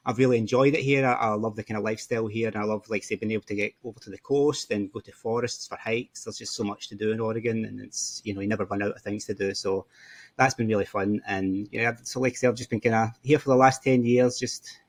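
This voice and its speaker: A man's voice: fairly low pitch, fairly even intonation, fast talker. A man in his forties with a Scottish accent, chatting as a podcast guest in an easygoing, rambling way. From Scotland. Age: 40s. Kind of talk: casual interview chat.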